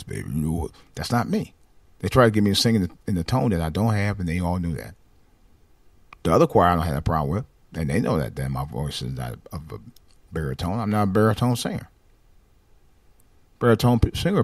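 Man with a light, lively voice. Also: slightly rough, dry recording, studio quality. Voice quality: light voice without much bass. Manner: rambling, repeats and restarts phrases. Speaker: podcast host. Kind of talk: casual personal monologue.

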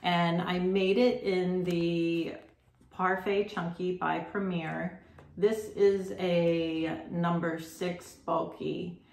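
Chatty woman with a gravelly voice. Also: on the low side, flat delivery, extremely slow, a little mellow. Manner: rambling, chatty, many ums and self-corrections. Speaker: crochet podcast host. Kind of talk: casual chat to camera. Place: home room with red striped wallpaper, indoors. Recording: roomy echo.

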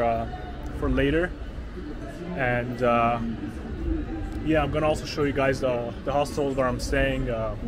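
A young man talking to a handheld camera as he walks through city streets, rambling with lots of fillers. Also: medium pitch. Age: young adult. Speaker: travel vlogger. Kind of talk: casual walking vlog to camera. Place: outdoors on busy city streets. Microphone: action camera held at arm's length. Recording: street noise behind the camera. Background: traffic and city ambience.

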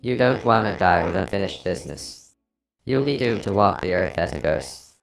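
A man plays a grim reaper in a comic dub, his voice very deep and gravelly. He speaks slowly and solemnly, like a warning, over soft music. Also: animated, rough recording. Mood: ominous.

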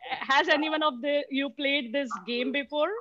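A woman in her forties from Mumbai giving a mentorship talk over a video call, halting with many fillers and restarts. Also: high-pitched, steady tone, background noise. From Mumbai, India. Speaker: guest speaker. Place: small room indoors. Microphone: headset on a video call. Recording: dull lo-fi video call audio.

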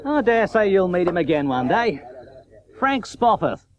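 An actor playing a dry, needling cricket tour organiser in a radio drama. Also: male voice, high-pitched, sing-song, a little slow. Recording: dull old radio broadcast.

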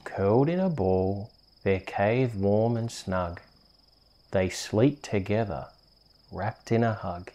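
An Australian man hosting and reading bedtime stories in a soft, soothing, unhurried ASMR storytelling manner. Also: fairly low pitch. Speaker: host reading bedtime stories on a video channel.

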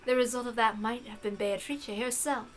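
A woman's voice: a little high, fairly even intonation, faint music behind, lightly acted. A woman as a composed, cerebral witch. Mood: analytical. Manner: measured, formal, deliberate. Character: composed, cerebral female witch, aloof and analytical. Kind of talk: visual novel character reading.

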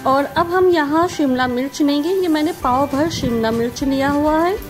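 Woman, high-pitched, cooking channel host, step-by-step voice-over, friendly, background music. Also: normal speed. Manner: friendly instructive, repeats emphatic praise.

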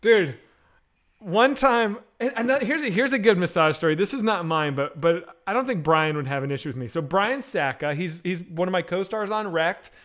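Animated man telling crude stories. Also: high-pitched, normal speed. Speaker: podcast host. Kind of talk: casual chat. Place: home room with bookshelves. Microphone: headset.

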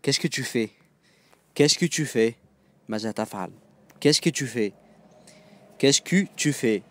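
A man with an Egyptian accent teaching phrases, repeating each one slowly and then glossing it in quick, casual asides. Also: medium pitch, animated, no echo. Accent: Egyptian Arabic. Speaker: language teacher. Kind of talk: phrase-by-phrase language lesson.

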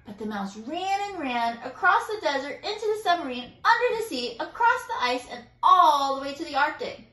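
A woman reads a picture book aloud with dramatic, emphatic phrasing. Her voice is high and bright, and the room adds a clear echo. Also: sing-song, moderate pace, few pauses. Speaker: storybook reader. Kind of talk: expressive children's story narration.

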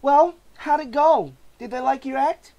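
A man voicing a gentle, reassuring pregnant wife in a comic book reading. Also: very high-pitched, a little slow, highs cut off, pitched above the performer's own voice. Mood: soothing.

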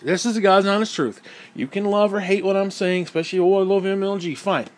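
Hoarse, heated, foul-mouthed man. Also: sits high, quick pace. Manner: profane, blunt and heated. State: hoarse, losing his voice. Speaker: gaming vlogger. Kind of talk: angry opinion rant.

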